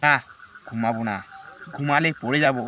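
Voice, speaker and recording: A man's voice: mid-range voice, steady tone, moderate pace, pushed and bright, close to an everyday voice. A man playing a weary forest hunter in a cartoon, speaking in a dramatic, declamatory way. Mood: weary, worried. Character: weary, worried male forest hunter who turns merciful. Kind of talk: cartoon voice acting.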